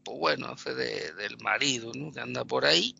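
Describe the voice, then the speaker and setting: Gravelly, sarcastic man reviewing a film. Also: mid-range voice, normal speed, sharp tone. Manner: mocking, laughs often. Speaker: podcast co-host. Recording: dull lo-fi podcast audio.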